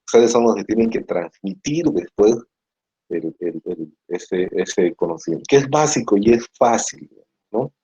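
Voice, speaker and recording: A man with a Peruvian Spanish accent lectures in a patient, explanatory way and checks in with tag questions. His voice sits low, mellow and a little husky. The recording is dull and lo-fi. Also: expressive, measured pace. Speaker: psychiatry lecturer. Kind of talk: university lecture. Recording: muffled lo-fi classroom recording.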